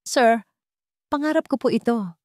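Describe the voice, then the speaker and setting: A woman's voice: on the high side, a little slow, a little mellow, no echo, studio quality, pitched above the performer's own voice, natural voice. A woman voicing a humble, desperate young male job applicant in a story, meek and trembling, with a Filipino accent. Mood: desperate pleading.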